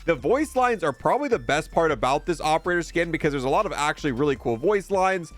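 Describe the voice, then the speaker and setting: A man's voice: a little high, lively intonation, fast. A man giving casual commentary as he reviews video game content. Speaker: gaming video creator reviewing a game bundle.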